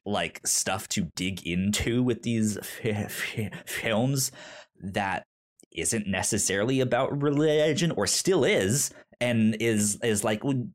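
A podcast co-host talking casually and earnestly about films, often stammering and repeating syllables and words. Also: low voice. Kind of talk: casual conversational film discussion.

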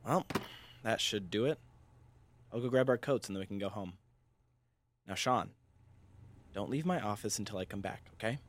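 A low-pitched American man acted by a man in an audio drama, a father in middle age. He is protective of his child and anguished, torn between work and family. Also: normal speed, long pauses, slight background noise, lightly acted. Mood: gentle. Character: protective, anguished father working at a secretive corporation.

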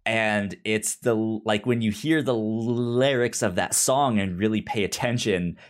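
A podcast co-host chatting casually about a show, haltingly, often repeating starts while searching for words. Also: medium pitch. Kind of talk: casual chat recapping a TV show.

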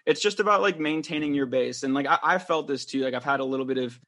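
A man's voice: medium pitch, fast talker, a bit bright. A young man co-hosting a podcast, whose first language is French, talking casually in a rambling way with lots of fillers and restarts. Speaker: podcast co-host.

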